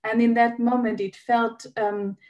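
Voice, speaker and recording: A woman's voice: a little high, level tone, a little slow, a little mellow, highs cut off. A woman from Austria interviewing a guest, speaking hesitantly with many fillers. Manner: hesitant, many ums and uhs. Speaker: interviewer, host. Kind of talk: conversational interview.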